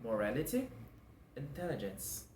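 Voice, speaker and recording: A man in his twenties from Brazil with a Brazilian Portuguese accent, whose first language is Portuguese. He hosts his own channel and explains a doctrine in an earnest, measured way, going through points one by one. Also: mid-range voice, huge pitch swings, darkish tone. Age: 20s. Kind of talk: scripted explanatory monologue. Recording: echoey room with faint background noise.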